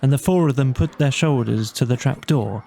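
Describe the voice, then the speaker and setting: A man narrating a bedtime storybook in a measured, dramatic storytelling manner. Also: medium pitch, creaky, warm tone, some chatter behind. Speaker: audiobook narrator.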